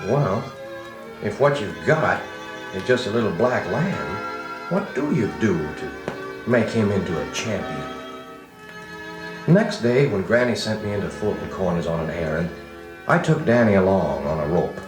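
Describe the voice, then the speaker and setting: An actor playing a grown man recalling his farm boyhood, narrating a children's record drama in an unhurried, expressive way. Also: low voice. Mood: reflective storytelling. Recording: vintage 45 rpm record, music behind, roomy.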